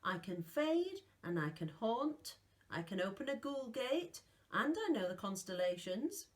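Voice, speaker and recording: An earnest young boy raised among the dead, as a woman reading aloud puts him on, speaks quickly in a raised, eager voice. He pushes his words out in a rush, a storybook child set on having his way. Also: fairly high pitch, sing-song, pitched above the performer's own voice.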